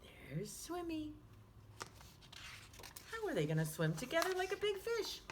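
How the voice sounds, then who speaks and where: A woman hosting children's storytime, reading a picture book aloud in an animated, sing-song manner. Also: very high-pitched, measured pace, noisy background. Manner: animated, sing-song, with hushed asides to listeners.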